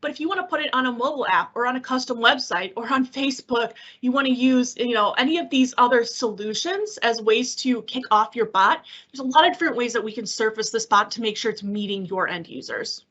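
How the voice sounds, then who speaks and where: A woman giving a software demo in a conversational way, explaining things with examples and rhetorical questions over an online meeting. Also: a little high, lively intonation, a little fast. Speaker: technical specialist presenting a software demo. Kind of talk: webinar demo and question answering. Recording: dull, lo-fi online call audio.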